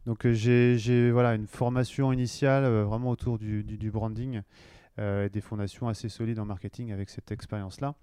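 A man's voice: lowish voice, level tone, a little fast, mellow, clear, resonant tone, no echo, clean studio sound. A man in his forties, a marketing director talking through his career in an interview, hesitant with many fillers and restarts. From western France. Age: 40s. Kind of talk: conversational interview.

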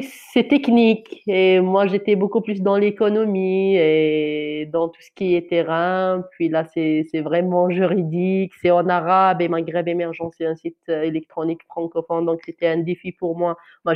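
A woman journalist from Algeria whose first language is Kabyle, speaking in an interview in an earnest, halting way with many restarts and fillers. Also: fairly low pitch, lo-fi sound. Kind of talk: interview answer, personal account.

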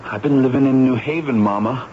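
A man's voice: mid-range voice, a little fast, close to an everyday voice. An actor playing a meek, stammering young son in 1970s radio drama, sheepish with his mother. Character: sheepish young son who spins tall tales. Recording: dull, noisy old radio recording.

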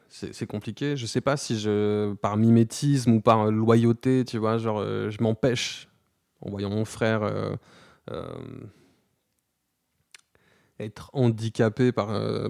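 A man in his thirties speaking candidly in an interview, slangy and halting, with many restarts and fillers. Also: fairly low pitch, gravelly, darkish tone, no room sound, studio quality. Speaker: guest.

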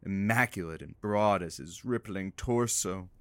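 A man's voice: low voice, measured pace, a bit bright, no echo. A man playing a teasing, wry radio producer and sidekick, bantering casually in an audio drama.

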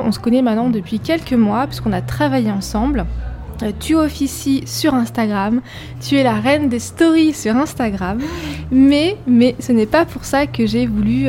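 A woman's voice: on the high side, darkish tone, slight background noise. A woman hosting her podcast as a coach, welcoming and interviewing her guest with effusive enthusiasm.

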